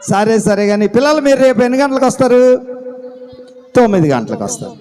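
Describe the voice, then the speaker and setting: A man's voice: extremely high pitch, wildly swooping pitch, fairly quick, a little mellow. A man hosting a children's puppet show, bantering playfully, asking questions and mock-scolding the puppets.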